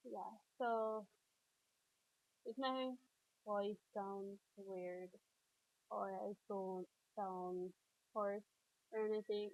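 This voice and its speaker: A woman's voice: medium pitch, darkish tone, highs cut off. A woman with a stuffy, congested voice gives casual, rambling commentary over a building video, full of fillers and restarts. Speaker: video creator.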